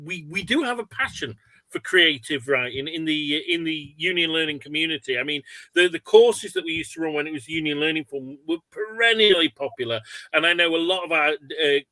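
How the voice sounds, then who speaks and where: A man hosting an online webinar in a casual, chatty way, with halting, rambling explanations. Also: on the high side, sharp tone, no echo, poor audio. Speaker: webinar host. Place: indoors on an online video call.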